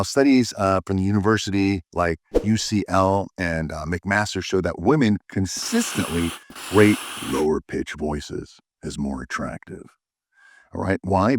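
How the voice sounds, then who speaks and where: A man with a deep voice giving dating advice straight to the camera, emphatic and often checking in with "all right?". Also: no echo, clean studio sound. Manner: fillers and restarts. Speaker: dating coach. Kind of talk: direct-to-camera advice talk.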